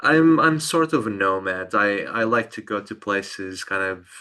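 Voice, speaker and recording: A man's voice: fairly low pitch, expressive, a little slow, bright, forceful tone, smooth. A young man from Portugal, a podcast guest telling bike travel stories in a hesitant way, often repeating words. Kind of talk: casual storytelling interview.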